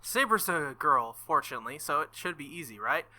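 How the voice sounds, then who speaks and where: A confident young woman mage from a visual novel lays out the plan in a practical, matter-of-fact way, as a man reads her lines. The voice is bright and forceful. It sits a little high. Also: very animated, fairly quick, full character voice. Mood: explaining.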